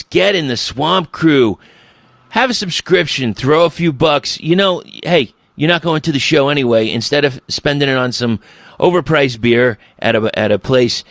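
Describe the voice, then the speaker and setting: A man's voice: mid-range voice, a bit bright, no echo. A man hosting a casual podcast interview, talking in a loose, rambling way with the odd swear word. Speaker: podcast host.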